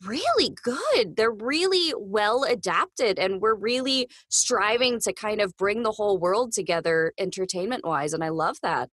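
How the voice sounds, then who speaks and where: A woman's voice: mid-range voice, lively intonation, unhurried, hardly any pauses, a bit bright, slightly rough, no room sound, studio quality. A woman talking casually as an interview guest, articulate and upbeat, like a working voice actress.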